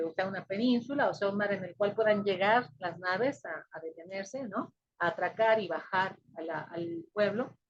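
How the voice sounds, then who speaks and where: A woman's voice: medium pitch, slight background noise. A woman with a Mexican accent teaching a recorded lesson. She explains step by step, with many fillers and frequent check-ins with the listener. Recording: dull lo-fi recording.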